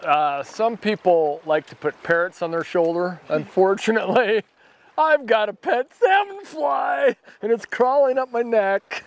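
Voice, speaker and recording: A man's voice: high-pitched, expressive, measured pace. A man in his forties hosting a fishing vlog from a drift boat, exuberant, whooping and laughing, on a lapel mic outdoors. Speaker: fishing show host. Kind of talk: excited outdoor vlog chat.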